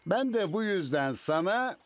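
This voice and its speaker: High-voiced kindly older villager. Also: male voice, sing-song, unhurried, no echo. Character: kindly older village man, gently firm. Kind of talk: animated children's cartoon dub.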